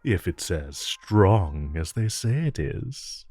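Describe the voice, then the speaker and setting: A man playing a suave, flirtatious supervillain in a romantic audio roleplay, with a smooth, teasing drawl and deliberate pauses. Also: deep voice, sing-song, darkish tone, no room sound, heavily acted. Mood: coaxing, flirtatious. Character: suave, flirtatious male supervillain courting his tailor.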